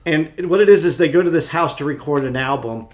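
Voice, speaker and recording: Guy with a kinda higher voice just chatting on a podcast, into it and full of opinions. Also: brisk, darkish tone. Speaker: podcast co-host. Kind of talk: casual chat.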